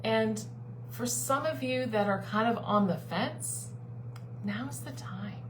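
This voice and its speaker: A woman's voice: on the high side, takes it slowly, rough recording. A woman life coach giving an earnest, persuasive live-streamed talk to her online group, repeating her key phrases. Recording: steady background hum.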